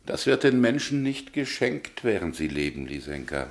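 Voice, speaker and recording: An actor playing a weary, tender aging governor and father, halting and drawn-out, in a German radio drama. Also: deep voice, very animated. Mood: tender, uneasy. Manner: halting, drawn-out, dictating word by word. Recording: roomy, loud typewriter clatter behind.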